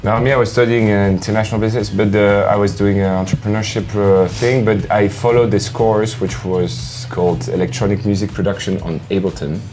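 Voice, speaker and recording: A man's voice: on the low side, fairly even intonation, a little fast, hardly any pauses, a little mellow. A young man from the south of France, talking casually as an interviewed musician. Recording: music playing behind the talk.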